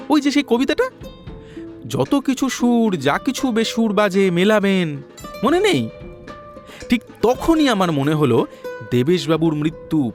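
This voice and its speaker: A chatty Bengali detective in an audio story, high-voiced and very lively. He is deferential and rambles through his reasoning point by point, with music behind him. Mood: animated, explaining.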